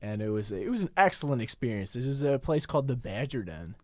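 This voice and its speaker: A man's voice: medium pitch, sing-song, no echo, clean studio sound, close to an everyday voice. A man putting on a rambling boastful immigrant. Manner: halting, rambling delivery full of "uh"s. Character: boastful Kazakh immigrant man telling drunken stories. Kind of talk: comedic college radio bit.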